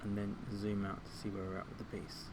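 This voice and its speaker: A man giving an informal step-by-step software tutorial as a music tutor, thinking aloud a little hesitantly. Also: fairly low pitch, monotone, a little fast, background noise.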